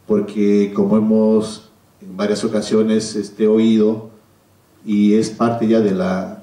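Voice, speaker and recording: A middle-aged man with a Mexican accent speaking at a public round table, measured and hesitant with frequent pauses. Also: on the low side, dark tone. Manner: measured, hesitant, pausing often. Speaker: panel speaker. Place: cinema auditorium stage. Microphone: handheld PA microphone, filmed from audience. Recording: echoey hall, distant camera microphone.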